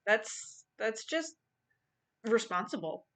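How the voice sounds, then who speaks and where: A woman's voice: on the high side, big pitch swings, takes it slowly, fairly bright tone. A woman chatting casually as she hosts and retells a silly story, playfully acting out the quoted lines. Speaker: host of a live online dramatic reading and drawing stream.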